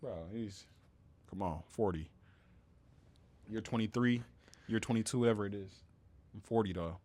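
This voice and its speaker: A man co-hosting a casual sports podcast, relaxed and joking, often letting his lines trail off quietly. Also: lowish voice, moderate pace. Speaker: podcast co-host.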